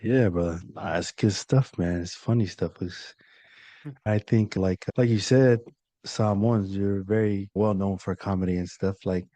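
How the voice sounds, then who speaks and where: A man's voice: sits low, unhurried, no echo. Laid-back man hosting a podcast. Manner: relaxed, laid-back, lots of fillers. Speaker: podcast host. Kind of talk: casual interview chat.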